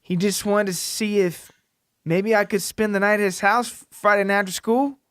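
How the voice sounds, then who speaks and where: A man acts out a frightened young boy in an audio story, his voice lifted high and close in a dry recording. He is meek and polite, stumbling over words as he pleads. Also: steady tone, normal speed, fairly bright tone, smooth, dry recording. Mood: anxious, pleading.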